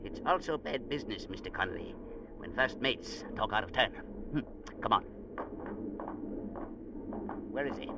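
A man's voice: fairly low pitch, expressive, normal speed, vocal fry, long pauses. An actor playing a domineering, irascible sea captain in his seventies in an old-time radio drama, barking and grumbling. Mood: grumpy, weary. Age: 70s. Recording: muffled, noisy vintage radio recording.

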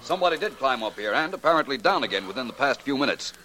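An actor playing a shrewd, erudite private detective, urbane and wryly amused, in the style of 1940s radio drama. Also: male voice, medium pitch, very animated, very fast, sharp tone, a little hiss or hum, rough recording. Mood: calm, shrewd. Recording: old 1940s radio recording.

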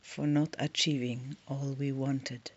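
A woman leading a guided meditation in a soft, soothing, breathy voice, leaving long pauses between short phrases. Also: extremely low pitch, level tone, dull audio.

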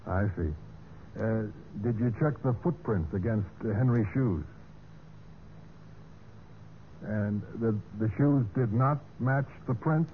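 An actor playing a methodical police investigator in a vintage radio mystery, questioning in a measured, halting way. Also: male voice, medium pitch, phone-quality sound, close to an everyday voice. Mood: businesslike. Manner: hesitant, measured questioning with drawn-out pauses. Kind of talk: 1940s-50s radio mystery acting. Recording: noisy band-limited old radio recording.

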